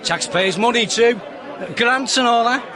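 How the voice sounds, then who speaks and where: An actor playing a chatty, excitable small-time chancer in a radio drama, with a Liverpool accent and a rambling, matey manner. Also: male voice, extremely high pitch, a little slow. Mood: amused. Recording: busy outdoor crowd and traffic behind. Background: street crowd, vehicles.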